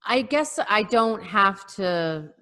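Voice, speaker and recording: A woman co-hosting a casual chat on a video call, telling local news in a hesitant, pausing way. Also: medium pitch, lively intonation, bright, forceful tone. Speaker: podcast co-host.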